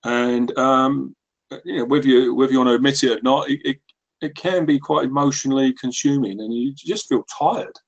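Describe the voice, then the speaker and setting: A man's voice: medium pitch, steady tone, normal speed, gravelly, muffled recording. A man chatting reflectively on a conference call, hesitant and searching for his words. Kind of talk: reflective personal chat.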